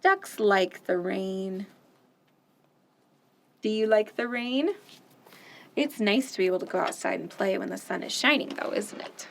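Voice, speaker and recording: A woman hosting a children's storytime, reading picture books aloud gently and asking the kids questions. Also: mid-range voice, creaky, a little hiss or hum. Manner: gentle, slow, sing-song, asks children questions.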